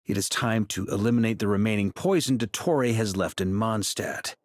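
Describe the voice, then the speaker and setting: A man's voice: on the low side, moderate pace, dry recording, studio quality, close to an everyday voice. A man playing an easygoing, wry Grand Master of knights with casual authority in a video game. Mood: weary, brooding.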